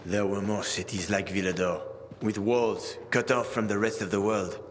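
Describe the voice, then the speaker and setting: An actor playing a weary, wry middle-aged survivor guide in a video game, hushed and plain-spoken. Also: male voice, on the low side, fairly even intonation, moderate pace, slight background noise, natural voice. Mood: weary, cynical. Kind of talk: video game cutscene acting.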